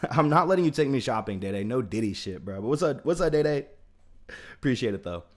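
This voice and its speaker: A man's voice: mid-range voice, lively intonation, fairly quick, darkish tone. A young man casually chatting on a livestream. He greets viewers by name and thinks aloud with plenty of fillers. His speech sounds a bit off from the teeth whitening strips he has in. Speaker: trading livestreamer.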